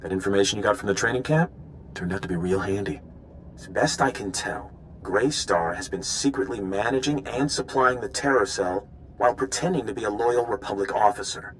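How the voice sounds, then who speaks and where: A man's voice: fairly low pitch, fairly quick, slight background noise, lightly acted, rough recording. An actor playing an earnest, scheming male undercover informant in a video game, speaking in an urgent, conspiratorial way. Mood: urgent, plotting. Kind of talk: video game dialogue acting.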